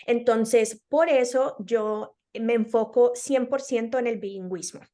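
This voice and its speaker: A woman's voice: on the high side, unhurried, mellow. A woman from Colombia with a Colombian accent, a speech-language pathologist being interviewed, who explains patiently with examples and many hesitant fillers.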